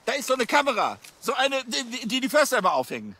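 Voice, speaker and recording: A man's voice: extremely high pitch, very animated, fast, pushed and bright, slightly rough, full character voice. An actor playing a fussy, self-important policeman in a radio drama, stammering and tagging questions onto sentences. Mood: flustered, excited. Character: fussy, blustering male police officer, overeager and self-important.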